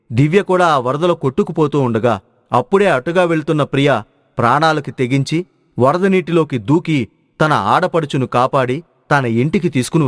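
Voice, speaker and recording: A man's voice: mid-range voice, dry recording, clean studio sound. A man narrating a scripted moral story as its storyteller.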